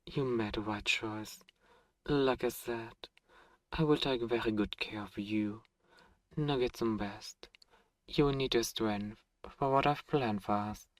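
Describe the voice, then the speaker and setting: An ancient, sadistic vampire lord acted by a man speaks slowly and silkily in a medium-pitched voice. He is possessive and condescending, with formal, menacing phrasing. The ASMR roleplay sounds close and very dry. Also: dry recording, natural voice. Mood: mock tender, possessive. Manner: silky, menacing, condescending, drawn-out formal phrasing with pet names. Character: centuries-old sadistic, possessive, dominant male vampire lord. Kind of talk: ASMR roleplay audio drama. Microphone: close microphone, dry.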